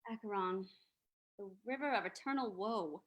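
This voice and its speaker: A woman's voice: mid-range voice, moderate pace, highs cut off, close to an everyday voice. A woman playing a detective's inquisitive female companion in an old-time radio drama reading. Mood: thoughtful.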